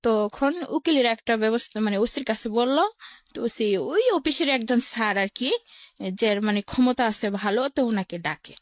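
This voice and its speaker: High-voiced Bangladeshi woman telling her story. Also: normal speed, no room sound, clean studio sound. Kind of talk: personal storytelling in an interview.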